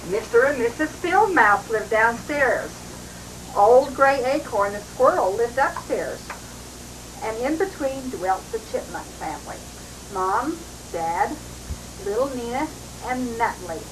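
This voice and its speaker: Grandma reading a storybook to kids, fairly high older woman's voice, loud hiss on an old home tape. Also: animated, slow pace. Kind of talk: storybook read-aloud. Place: at a family Christmas party. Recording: noisy old 1980s home recording.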